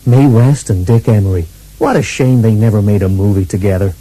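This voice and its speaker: A man playing a deadpan, wry American detective narrating a comedy radio play, with an American accent. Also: fairly low pitch, brisk, heavy background noise, close to an everyday voice. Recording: radio taped to cassette, faint music.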